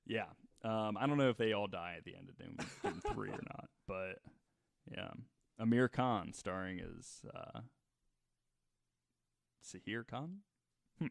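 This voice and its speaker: A man's voice: fairly low pitch, expressive, a little slow, gravelly, long pauses, no echo, studio quality. A man chatting casually on a comedy-leaning podcast, joking and chiming in with short reactions. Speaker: podcast co-host.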